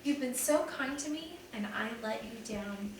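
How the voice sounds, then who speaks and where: A woman's voice: a little high, lively intonation, measured pace, slight background noise. A woman voicing a remorseful young man who speaks earnestly and apologetically. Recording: echoey room, mic at a distance.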